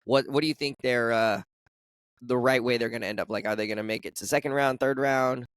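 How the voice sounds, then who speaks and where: Hoarse forty-year-old man, dry sound. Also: medium pitch, fairly even intonation, fairly quick, fairly bright tone, dry recording. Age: 40s. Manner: laughs often, loose and joking. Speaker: podcast host. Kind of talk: casual chat.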